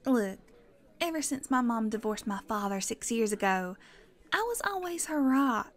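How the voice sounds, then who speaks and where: A woman's voice: a little high, lively intonation, normal speed, natural voice. An actress playing a guarded, self-doubting single woman in her thirties, defensive and emphatic, in a conversational audio drama. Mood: defensive, resigned.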